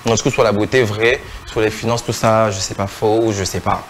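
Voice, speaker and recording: A man's voice: fairly low pitch, fairly even intonation, brisk, slight background noise. Hesitant Kinshasa-born French man. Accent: Congolese French. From born in Kinshasa, French national. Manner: hesitant, many fillers and restarts, mixing in Lingala. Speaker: guest, TikTok creator. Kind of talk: casual interview answers. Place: indoor studio set.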